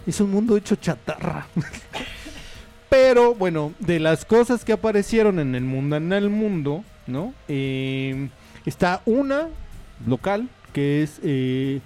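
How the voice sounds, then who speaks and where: A man's voice: a little high, lively intonation, takes it slowly, darkish tone. Chatty man hosting community radio. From Mexico. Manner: rambling, chatty, many fillers and asides. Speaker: radio host. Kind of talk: casual on-air chat. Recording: radio studio with faint music behind.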